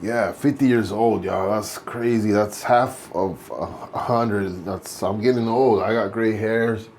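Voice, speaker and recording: A man's voice: on the low side, slow, a little mellow, slight background noise. A man in his late forties chatting casually while shaving, rambling and correcting himself as he goes. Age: 40s. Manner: rambling, self-correcting, laid-back. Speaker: vlogger.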